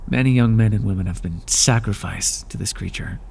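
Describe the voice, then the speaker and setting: A man's voice: on the low side, a little fast, gravelly, a little mellow, a little hiss or hum. A man playing an aloof, lonely god of the underworld in an ASMR roleplay, talking in a dry, languid and sardonic way.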